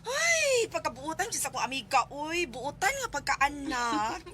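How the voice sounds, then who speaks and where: An actress playing a chatty, sympathetic friend with an exclamatory, gossipy manner in a radio drama. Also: female voice, high-pitched, sing-song, moderate pace, crowd noise.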